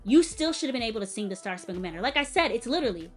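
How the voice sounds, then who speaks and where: A young woman with a light, fairly high voice talks straight to camera as a music educator. She is emphatic and animated, leaning hard on key words and handing out direct advice, with faint music underneath. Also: rapid-fire. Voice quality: light-sounding voice. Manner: direct, teacherly advice to the viewer. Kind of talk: casual commentary and performance critique.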